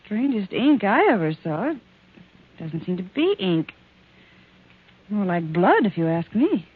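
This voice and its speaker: An actress playing a brisk, polite private secretary in 1940s radio mystery style. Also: female voice, mid-range voice, sing-song, breathy edge. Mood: brisk, concerned. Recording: muffled tinny 1940s radio broadcast.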